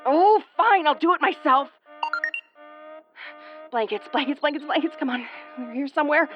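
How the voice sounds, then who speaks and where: A woman's voice: high voice, animated, normal speed, dull audio, over music. A woman playing a sardonic, sweary tomboyish young starship commander in an audio roleplay, stammering when rattled but secretly tender. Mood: panicked, exasperated.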